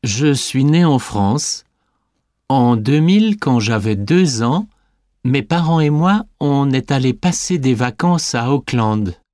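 An actor playing a young sporty French man answering scripted interview questions with clear enunciation. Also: mid-range voice, expressive, slow pace, darkish tone, no echo, clean studio sound. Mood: friendly, measured. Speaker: interviewee in a language listening exam.

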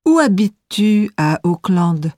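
A woman's voice: medium pitch, sing-song, slow pace, a little mellow, no room sound, clean studio sound. A woman reading a scripted listening exam as interviewer and narrator, enunciating carefully.

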